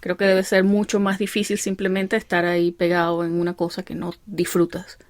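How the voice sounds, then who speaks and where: A woman's voice: on the low side, monotone, moderate pace, no room sound. A woman host, interviewing a guest in a supportive, conversational way. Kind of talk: casual interview chat.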